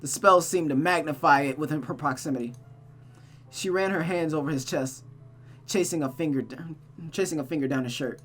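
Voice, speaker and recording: A woman hosts a read-aloud of a visual novel. Her voice is on the low side and she narrates dramatically, with music playing behind her. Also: brisk. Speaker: storytime host, game reader.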